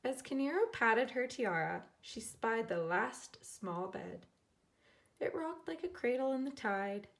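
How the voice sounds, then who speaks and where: A woman teacher reading a children's storybook aloud in an expressive way, acting out the characters. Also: a little high, a little slow. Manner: expressive, dramatizing characters' lines.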